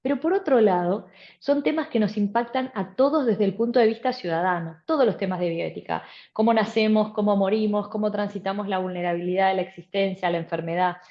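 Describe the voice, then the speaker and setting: A woman's voice: mid-range voice, a little fast, muffled recording. A middle-aged woman from Argentina, explaining her work articulately in an interview and repeating phrases for emphasis. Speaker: interviewed bioethicist and law professor.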